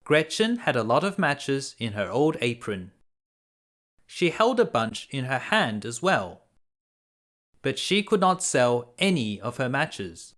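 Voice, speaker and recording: A man reading a children's fairy tale aloud as a bedtime storyteller, in a simple, deliberate way. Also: mid-range voice, very animated, fairly bright tone.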